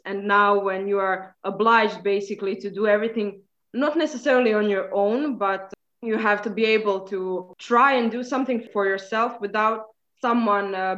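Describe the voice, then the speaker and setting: A woman's voice: medium pitch, a bit bright, lo-fi sound. A young woman from Croatia, an undergraduate speaking earnestly in an interview. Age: young adult. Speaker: undergraduate student guest. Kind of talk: casual interview answers.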